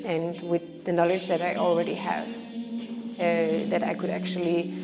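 Halting Danish-accented young woman, slight fry. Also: lowish voice, little pitch movement. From Denmark. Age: young adult. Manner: halting, searching for words. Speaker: circus artist. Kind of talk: reflective personal interview. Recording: reverberant with background music.

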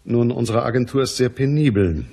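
An actor playing a matter-of-fact, weary private detective in a radio crime drama, with a dry, measured manner. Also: male voice, mid-range voice, creaky, dark tone, breathy edge. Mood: measured, businesslike.

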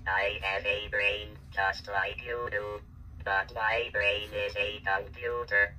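A synthesized male voice speaking as a small, polite, earnest robot pet in a stilted monotone. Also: lowish voice, slow. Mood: flat, polite. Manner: stilted, flat machine delivery. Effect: vintage robot speech synthesizer. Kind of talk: vintage speech-synthesizer robot voice. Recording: distant, noisy workshop recording.

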